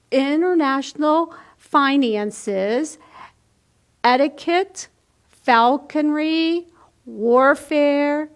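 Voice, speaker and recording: A woman voicing a haughty, insistent young male frog prince in a children's storybook. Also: sits high. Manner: insistent, prim.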